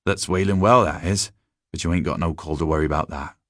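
A man's voice: low voice, fairly quick, fairly bright tone, dry recording, studio quality. A man voicing an old country shepherd with a rural English accent, grave and plain-spoken, giving folksy, emphatic warnings in a storybook reading. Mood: amused, dismissive.

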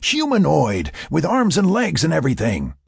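A man's voice: lowish voice, wildly swooping pitch, moderate pace, gravelly, a little mellow, husky, no echo, clean studio sound, pitched above the performer's own voice, full character voice. A man voicing a terse, commanding, protective man in an adventure audiobook. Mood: excited, insistent. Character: gruff, urgent, protective man with rifle in adventure story.

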